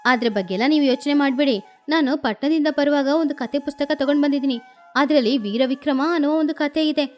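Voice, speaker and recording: A woman voicing a cheerful, chatty grown-up pigeon aunt in a cartoon story, telling things with lively excitement. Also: high voice, a little fast, soft background music, lightly acted. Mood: excited.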